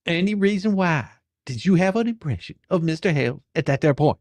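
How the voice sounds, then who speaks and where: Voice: mid-range voice, expressive, fairly quick, a little mellow, dry recording, natural voice. A performer playing a pushy, exasperated attorney in a dramatic reading of a deposition, badgering, sarcastic and coarse. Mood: probing. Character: pushy, folksy, exasperated attorney questioning a witness.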